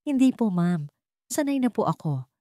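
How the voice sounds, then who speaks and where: Woman acting a wounded, defiant young woman, animated pitch. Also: moderate pace, no echo, studio quality, natural voice. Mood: guarded, polite. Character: wounded, defiant young single mother working as caregiver.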